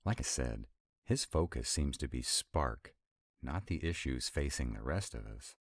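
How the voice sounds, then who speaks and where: An extremely low, closely dry-recorded male vampire, acted out by a man for a roleplay audio. He is sarcastic, wry and easily irritated, with a rebellious streak. His delivery is conversational and intimate, swinging animatedly as he makes his drawling asides. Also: expressive, unhurried, slightly rough, no echo, heavily acted. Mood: musing, frustrated. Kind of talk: intimate one-sided roleplay audio acting.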